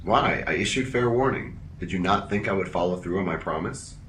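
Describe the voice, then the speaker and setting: A woman's voice: rumbling low, a little fast, a bit bright. A woman playing a stern, literal-minded officer in a sci-fi audio drama, terse and formal, with background noise. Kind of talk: science fiction audio drama.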